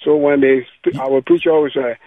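A man from Liberia, calling in to a radio show and speaking earnestly, with halting pauses. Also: medium pitch, fairly even intonation, dry recording. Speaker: caller. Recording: tinny phone line.

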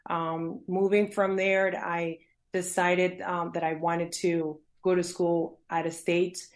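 A woman's voice: lowish voice, level tone, takes it slowly. A woman born in Colombia, a dentist guest, answering interview questions in a friendly way with many fillers and restarts. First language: Spanish.

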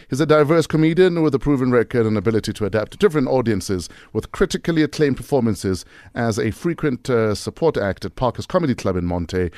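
A South African man hosting a morning radio show, his voice rising and falling in lively, teasing banter. He talks into a studio mic with a dry, close sound. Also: medium pitch, dry recording. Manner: lively, jokey, teasing interviewer. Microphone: studio microphone.

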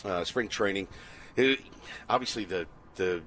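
A man's voice: low-pitched, unhurried, a bit bright, slight background noise, low-quality recording. A man hosting a sports radio show with casual, joking banter.

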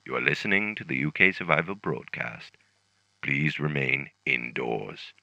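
An actor playing a solemn announcer on a dystopian survival broadcast, in formal radio style. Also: male voice, a bass voice, expressive, unhurried, creaky, pushed and bright, muffled recording, heavily acted. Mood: grave, official.

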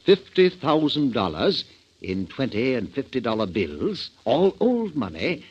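An actor in a 1940s radio drama playing a calm, courteous, erudite criminal mastermind with formal, wordy, condescending speech. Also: male voice, mid-range voice, a little slow, husky, heavily acted. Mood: confident, explaining. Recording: dull old 1940s radio recording.

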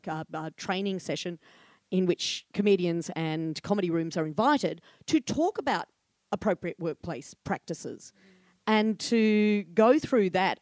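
A woman's voice: mid-range voice, darkish tone, dry recording. An older Australian woman talking candidly as a podcast guest, chatty, with fillers and frequent laughter. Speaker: comedy room programmer, guest. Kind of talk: live podcast conversation.

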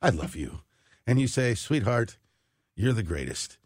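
A man's voice: fairly low pitch, sing-song, unhurried, no room sound, studio quality. A middle-aged American man hosting live radio with a showman's flair for announcing and storytelling. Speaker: radio show host. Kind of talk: live radio banter and interview.

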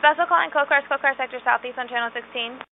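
A woman handles emergency radio traffic in formal, clipped phrases. Her delivery is fast and high in pitch. The marine radio link is narrow and tinny. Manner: clipped, procedural. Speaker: Coast Guard radio watchstander.

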